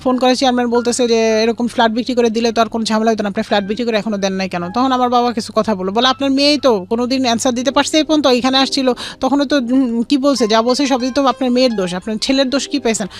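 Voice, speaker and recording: A woman's voice: a little high, steady tone, racing. A young woman with a Bangladeshi accent tells her life story in an interview, quoting conversations and mixing in English words.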